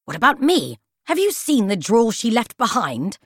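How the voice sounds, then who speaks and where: A woman's voice: a little high, huge pitch swings, moderate pace, a bit bright, husky, dry recording, pitched above the performer's own voice, exaggerated voice. A fussy talking etiquette book from a children's story, put on by a woman. It is snarky and easily indignant, tutting with disapproval, in a close, dry sound. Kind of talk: children's audiobook character voice.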